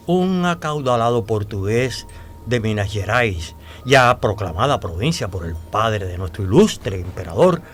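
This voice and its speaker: A devout man with secrets to tell in a radio drama. His pitch moves in lively swings as he recounts things deliberately and dramatically, pausing and hesitating. Faint music sits behind the voice. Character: devout, talkative male storyteller revealing old secrets.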